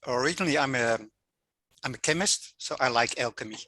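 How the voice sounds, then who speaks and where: A man's voice: medium pitch, fairly quick, sharp tone. A man from the Netherlands introducing himself briefly as a student and chemist. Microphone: video call.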